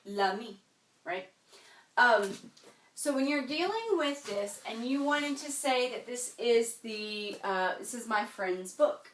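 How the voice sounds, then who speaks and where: A woman from the United States teaches French grammar in a calm, unhurried way. She walks through each rule patiently and checks the class understands. Her voice comes from a distance in an echoey room, with a faint hiss underneath. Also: medium pitch.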